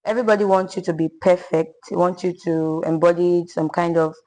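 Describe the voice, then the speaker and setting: Polite young woman on a podcast, says ma'am a lot, naturally loud voice. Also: lowish voice, steady tone, moderate pace, poor audio. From Nigeria. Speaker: guest. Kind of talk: podcast interview.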